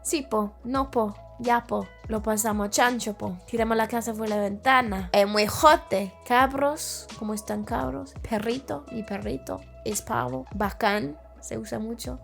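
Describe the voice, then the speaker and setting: Young irish woman, english first language, fairly high gravelly voice, chats in spanish with a chilean lilt, faint music underneath. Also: a little slow, few pauses. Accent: Chilean-inflected Spanish learner. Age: young adult. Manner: searches for words, restarts, laughs easily. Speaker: interview guest. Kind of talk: casual storytelling.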